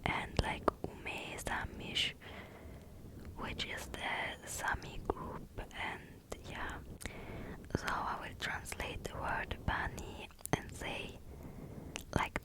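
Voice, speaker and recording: A woman with a German accent, a native German speaker, hosting ASMR in a soft breathy whisper with a hesitant, informal manner. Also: low-quality recording. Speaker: ASMR video host.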